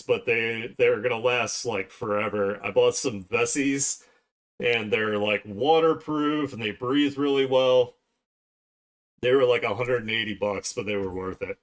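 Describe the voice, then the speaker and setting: A man talking casually to viewers on an art livestream, rambling along as he works. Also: medium pitch. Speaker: art streamer hosting a livestream. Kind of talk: casual livestream chat while drawing.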